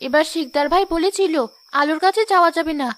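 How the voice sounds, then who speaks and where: A woman's voice: extremely high pitch, fast, a bit bright. A woman playing a vain, gullible young village wife in a cartoon moral drama, with a theatrical, whiny delivery. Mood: eager, scheming.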